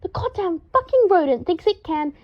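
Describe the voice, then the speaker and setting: A woman's voice: very high-pitched, sing-song, moderate pace, highs cut off, pitched above the performer's own voice. A woman reading aloud, voicing a fussy, haughty young wizard man who shrieks in indignant fury. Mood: furious.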